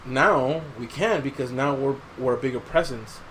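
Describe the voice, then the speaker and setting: A man's voice: medium pitch, normal speed, fairly bright tone, a little hiss or hum, low-quality recording. A man hosting a podcast in casual chat, swearing freely and giving his opinions in slangy talk. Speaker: podcast host.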